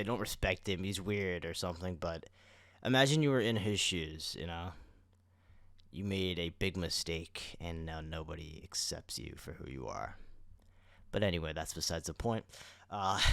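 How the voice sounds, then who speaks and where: Lowish-voiced man chatting on a podcast. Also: no echo. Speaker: podcast co-host. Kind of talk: casual podcast chat.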